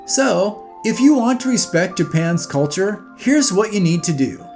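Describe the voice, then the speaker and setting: A man's voice: high-pitched, animated, a little slow, darkish tone, soft background music. A man delivering scripted opinion narration in a blunt, emphatic, scornful manner. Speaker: video narrator.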